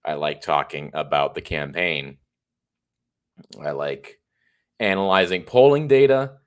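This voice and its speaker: Brief: man in his 30s, livestream host, stuffy and congested, deep voice, rambling opinions. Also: measured pace. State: congested and a little tired. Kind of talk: casual solo livestream monologue.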